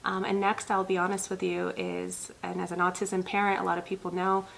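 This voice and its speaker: A woman's voice: fairly low pitch, level tone, a little fast, vocal fry, a bit bright, a little hiss or hum, rough recording. A woman in her thirties from Ethiopia, a pediatric nurse practitioner hosting her own channel, talking earnestly to viewers with lots of ums. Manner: earnest, many ums, speaks directly to viewers. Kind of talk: personal talk to camera.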